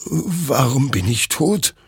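A man's voice: mid-range voice, expressive, slow pace, breathy edge, no room sound, studio quality, voice pushed up, full character voice. A man voicing a battle-weary, frightened yet defiant soldier in a story, agitated and pleading, at times stammering. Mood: despairing.

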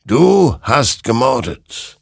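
A man's voice: fairly high pitch, wildly swooping pitch, drawn-out words, creaky, fairly bright tone, breathy edge, dry recording, studio quality, pitched above the performer's own voice, exaggerated voice. A man voicing an all-knowing spectral rider of war and death in a story, solemn and accusing as he makes his pronouncements.

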